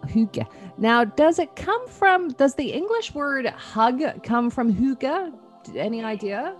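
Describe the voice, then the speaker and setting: Animated woman hosting a chatty interview. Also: high voice, slow pace, soft background music. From Canada. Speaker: show host.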